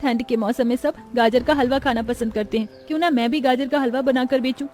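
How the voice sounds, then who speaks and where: A woman's voice: high voice, steady tone, fairly quick, a little mellow, soft background music, lightly acted. A woman voicing poor, earnest young orphan sisters in a melodramatic moral-story cartoon.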